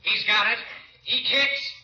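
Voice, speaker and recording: An actor playing an urgent, excited football announcer calling play-by-play on 1940s radio. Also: male voice, high voice, slow, full character voice. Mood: tense. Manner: urgent, punchy. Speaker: sports announcer. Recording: distant echoey muffled radio broadcast.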